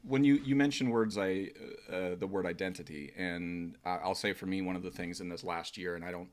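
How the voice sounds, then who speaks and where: A man with a very low voice hosts a loose conversation. He circles around his questions, restarts often, and chuckles as he goes. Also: a little fast. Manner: rambling, self-interrupting, chuckling. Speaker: host and steel drummer. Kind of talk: casual interview chat.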